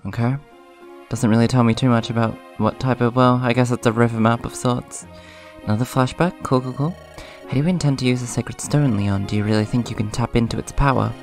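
A man hosts a casual let's play in a hoarse, subdued voice at an average pitch. He moves between rambling comments and reading on-screen dialogue. Music plays clearly behind him. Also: a little mellow. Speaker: gaming video host. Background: video game music.

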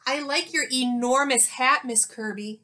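A woman's voice: high-pitched, unhurried, bright, forceful tone. A woman voicing a small, polite, earnest schoolboy in a storybook.

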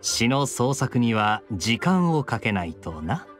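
A man voicing a suave, laid-back young knight captain in an anime game, smooth-talking and unhurried. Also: mid-range voice, big pitch swings, soft background music. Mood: relaxed.

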